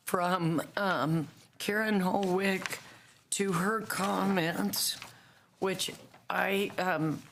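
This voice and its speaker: A woman from the American South with a raspy voice, chairing a public board meeting in a slow, halting way. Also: mid-range voice, fairly bright tone, a little hiss or hum. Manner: slow and halting, with many fillers.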